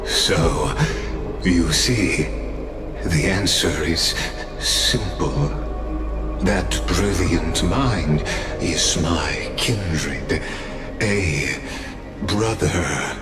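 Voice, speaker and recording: Slow, low-voiced synthetic scholar. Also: male voice. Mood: solemn, philosophical. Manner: theatrical, eloquent, formally polite. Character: grandiose, courteous synthetic male scholar and witness. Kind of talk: scripted game dialogue. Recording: roomy echo, music behind.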